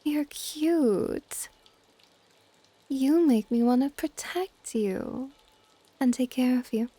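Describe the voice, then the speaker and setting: A woman playing a possessive, affectionate dragon goddess in an ASMR audio roleplay, with a soft, whispery, teasing and intimate delivery. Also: no echo, heavily acted. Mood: affectionate, doting. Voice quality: soft, breathy whispering mixed with low-volume speech. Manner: doting, teasing, unhurried.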